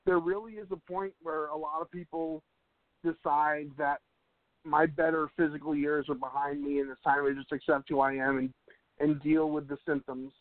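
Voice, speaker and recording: A man's voice: on the high side, level tone, moderate pace, dry recording. A man in his mid-thirties talking casually on a radio show, often restarting his sentences and laughing easily. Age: 30s. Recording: dry, tinny phone-like line.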